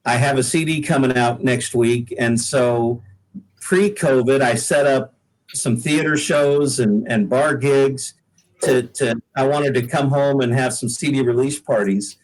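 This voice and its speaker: A man's voice: mid-range voice, a little slow, rough recording. A middle-aged American man from Oregon chatting casually as an interview guest, easygoing and jokey, on a laptop video call. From Oregon, United States. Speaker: guest singer-songwriter.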